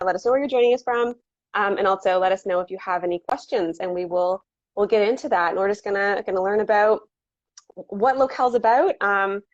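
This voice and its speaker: A woman hosts a relaxed conversational interview, chatty and upbeat, often restarting her sentences. Her voice sits at a middle pitch. The livestream audio is dull and very dry. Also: dry recording. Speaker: interview host.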